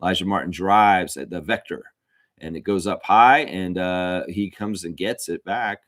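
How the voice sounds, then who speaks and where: A man's voice: sits low, normal speed, fairly bright tone, dry recording, studio quality. A man giving casual, conversational fan play-by-play of basketball, rambling a little and repeating himself. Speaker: livestream host calling basketball play-by-play. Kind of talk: casual fan sports commentary.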